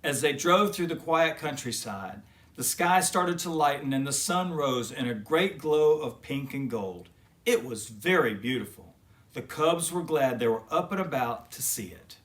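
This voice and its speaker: A man reading a children's story aloud as expressive narration. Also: medium pitch, a little slow. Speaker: children's story reader and host.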